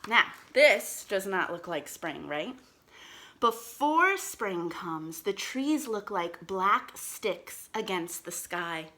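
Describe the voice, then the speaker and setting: A woman reading aloud to young children as a storytime host, expressive and sing-song, speaking to them directly with questions and encouragement. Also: mid-range voice, slow pace.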